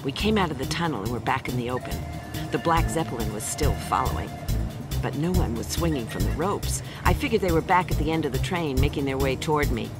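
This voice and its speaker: Cool deadpan adventurer, loud music behind. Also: female voice, very deep voice, a little fast. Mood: tense, wry. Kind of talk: first-person radio drama narration.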